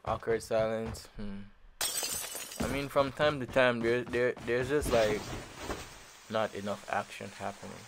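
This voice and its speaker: Laid-back Jamaican man, low voice. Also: fairly even intonation, takes it slowly, gravelly, long pauses, a little hiss or hum. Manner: laid-back and rambling, with many fillers and repeats. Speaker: gaming channel host. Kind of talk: casual gameplay commentary.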